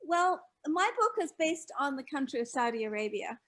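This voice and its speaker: Older woman from Scotland, high-pitched, reflective, measured interview guest. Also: expressive, a bit bright. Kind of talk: casual interview chat.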